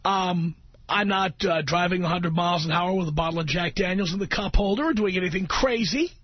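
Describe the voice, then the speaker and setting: A middle-aged American man hosting talk radio with an opinionated monologue, speaking in an emphatic, sardonic way with deliberate phrasing. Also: sits high. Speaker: radio talk show host. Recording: dull, roomy radio recording with music.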